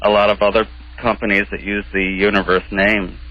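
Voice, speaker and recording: A man's voice: on the low side, flat delivery, brisk, no room sound. A man in a casual, argumentative radio conversation, speaking defensively as a guest. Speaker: radio show guest, pageant organizer and drag performer. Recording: dull lo-fi radio sound.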